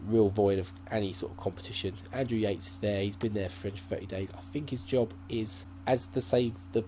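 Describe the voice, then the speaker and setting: A man's voice: on the low side, steady tone, fairly quick, warm tone, rough recording. Rambling man thinking aloud. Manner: rambling, thinking aloud with many fillers and restarts. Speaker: gaming channel host. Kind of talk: casual let's-play commentary. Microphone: desk mic at a laptop. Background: faint hum from a laptop.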